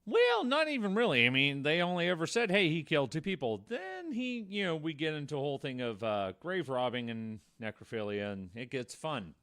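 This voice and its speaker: A man's voice: fairly high pitch, very animated, a little fast, few pauses, a bit bright, clean tone. A man co-hosting a podcast, telling true-crime stories in a conversational way with wry, darkly joking asides. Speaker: podcast co-host.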